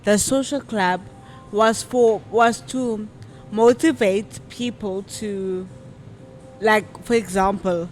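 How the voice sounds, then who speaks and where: Young woman on a podcast, talks real slow and thoughtful, faint music under it. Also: mid-range voice. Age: 20s. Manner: reflective, thinks aloud with "like" and "okay, fine". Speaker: podcast guest, modeling agency founder. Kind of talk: personal story in casual interview.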